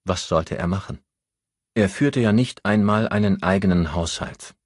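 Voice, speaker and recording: A man with a very low, husky voice reads a novel as an audiobook narrator. The recording is dry and close, with scripted literary delivery. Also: moderate pace, no echo.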